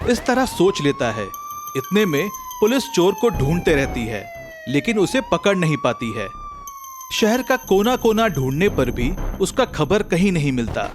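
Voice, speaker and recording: A man narrating a scripted comic children's story in an animated storytelling manner. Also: sits high, a little slow, over music.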